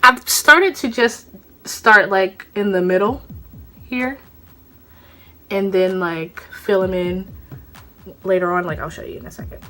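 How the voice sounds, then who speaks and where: A young woman filming herself close up on a phone in a small room, talking through a makeup routine. Her voice is bright and clear at a medium pitch, a little stuffed up and short of breath from a cold. She chats loosely and rambles, with plenty of fillers. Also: measured pace, pauses often. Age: young adult. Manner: chatty, rambling, lots of fillers. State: congested from a cold, a bit out of breath. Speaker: beauty vlogger. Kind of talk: casual makeup tutorial. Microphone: phone camera, close up.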